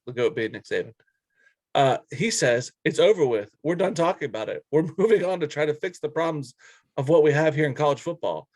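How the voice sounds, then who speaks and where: A man with a slightly high-pitched voice co-hosts a sports talk show. He runs through news items in a casual way and gives his takes bluntly, in informal language. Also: darkish tone, no room sound. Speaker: sports podcast co-host.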